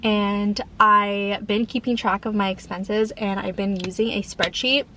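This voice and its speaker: Female vlogger, 30, informal rambling chat, mid-pitched with a slight lift, some background noise. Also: fairly even intonation, unhurried, sharp tone, rough recording. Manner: informal, rambling, frequent filler "like". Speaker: lifestyle vlogger. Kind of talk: casual chat to camera.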